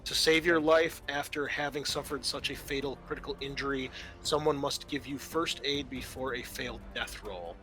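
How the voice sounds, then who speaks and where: A man acting as game master, narrating and refereeing a horror roleplaying game in a halting way with stretched, dramatic emphasis. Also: mid-range voice, pushed and bright, poor audio. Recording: background music under the voice.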